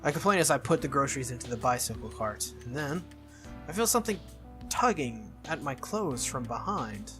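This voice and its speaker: Playthrough host, bright mid-pitched voice, expressive visual-novel reading, casual asides, music underneath. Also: normal speed. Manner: expressive, reacts aloud while reading. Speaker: video game playthrough host. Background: game music.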